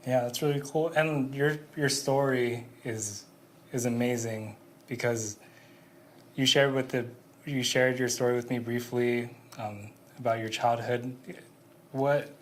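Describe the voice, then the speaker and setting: Young guy host, really flat monotone, soft mm-hmms, faint hiss. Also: medium pitch, unhurried. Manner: flat-voiced, frequent soft backchannels. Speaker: interviewer, podcast host. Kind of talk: casual interview.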